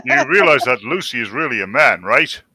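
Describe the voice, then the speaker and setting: A husky-voiced man bantering casually as a livestream co-host, tossing out dry wisecracks. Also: mid-range voice, expressive, a little fast, bright, forceful tone, no echo.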